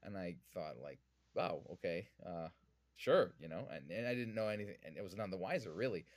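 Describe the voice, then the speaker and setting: Low-voiced Canadian man in conversation. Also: lively intonation, fairly quick, no echo. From Vancouver, Canada. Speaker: podcast guest, whiskey influencer. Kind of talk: conversational interview answers.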